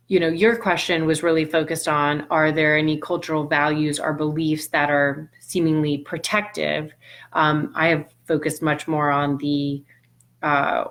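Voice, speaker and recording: Low-voiced Puerto Rican woman scientist. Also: a bit bright. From Puerto Rico. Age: middle-aged. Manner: thoughtful, with frequent ums and restarts. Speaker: nutrition scientist hosting a live Q&A. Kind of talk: answering live audience questions.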